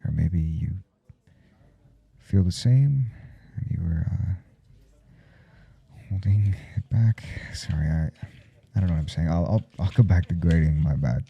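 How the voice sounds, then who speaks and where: A man playing a nervous, smitten professor in an intimate ASMR roleplay, husky and halting, stammering coyly as he speaks. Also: highs cut off, full character voice. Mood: anxious, hesitant. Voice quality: husky, dark low murmur. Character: nervous, smitten male professor confessing forbidden attraction. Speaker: audio roleplay performer.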